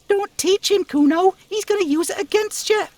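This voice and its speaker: A man's voice: very high voice, moderate pace, darkish tone, no room sound, voice pushed up. A man voicing a vicious little street girl in a video game, screeching hostile commands and curses. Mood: warning.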